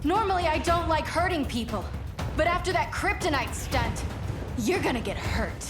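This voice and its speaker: An actress playing a fierce, protective young superheroine in a video game cutscene. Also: female voice, high voice, animated, normal speed, over loud music. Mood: defiant. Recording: echoing, loud score behind.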